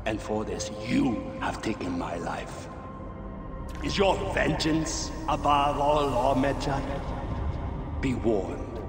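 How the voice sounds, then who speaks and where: A man playing an indignant, threatening slain lawman who accuses his killer in a dramatic video game. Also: mid-range voice. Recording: echoing long reverb, music behind.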